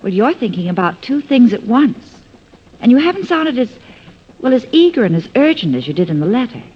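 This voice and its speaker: An actress playing a perceptive, questioning detective's sidekick in a 1950s-style radio drama, probing and wry. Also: female voice, fairly high pitch, sing-song, fairly quick, husky. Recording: dull, noisy old-time radio recording.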